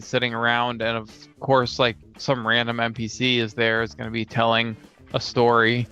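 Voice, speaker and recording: A man's voice: mid-range voice, pushed and bright, clear, resonant tone. A man co-hosting a casual discussion of tabletop role-playing games, on a lo-fi recording. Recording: dull lo-fi sound, music underneath.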